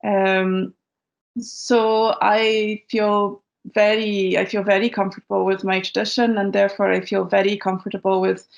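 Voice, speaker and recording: A Scottish woman with a gravelly, creaky edge to her voice talks through her ideas slowly and thoughtfully. She pauses with ums and restarts her sentences as she works out what she means. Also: mid-range voice, flat delivery, lo-fi sound. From Scotland. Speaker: guest musician. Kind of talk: interview conversation.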